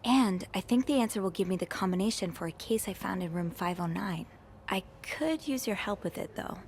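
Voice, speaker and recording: A woman plays a curious young hotel maid in a 1950s mystery game. She speaks at a medium pitch with a thoughtful, earnest, conversational manner. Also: expressive, a little fast, a little mellow, a little hiss or hum, lightly acted. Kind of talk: conversational video game acting.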